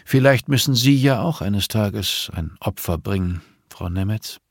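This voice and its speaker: A man voicing a calm, grieving older nun in an audiobook, measured, solemn and quietly reproachful. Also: on the low side, husky, no echo, clean studio sound, exaggerated voice. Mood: resigned, ominous.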